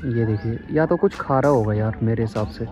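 A man's voice: medium pitch, a little fast, music in the background. A man vlogging a casual walking tour of a zoo, chatty and enthusiastic, often exclaiming, recorded outdoors on a handheld camera. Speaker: travel vlogger.

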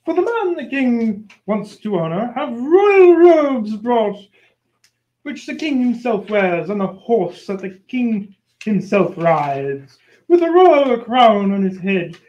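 Radio-play villain played by an amateur: vain, vengeful male court official, slow, halting declamation, roomy sound. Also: medium pitch, big pitch swings, warm tone. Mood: self-important. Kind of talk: amateur old-time radio play acting. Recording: dull, roomy amateur recording.

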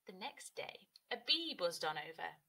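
A woman's voice: lowish voice, big pitch swings, measured pace, gravelly, bright, forceful tone. A woman in her thirties, a teacher, narrating a children's story expressively.

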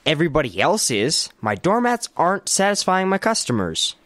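A man's voice: a little high, very animated, moderate pace, fairly bright tone, dry recording. A man playing an anxious, melodramatic boy with a small business in a comedy radio play. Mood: glum, disbelieving. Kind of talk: comedic radio theater acting.

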